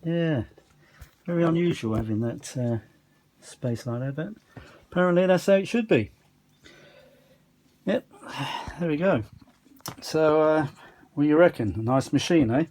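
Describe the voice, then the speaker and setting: A man's voice: on the high side, expressive, unhurried, pauses often, darkish tone, slight background noise. A man giving a casual, rambling hobbyist demonstration, chatty and self-deprecating, with muttered asides. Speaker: vintage audio hobbyist presenting a restoration.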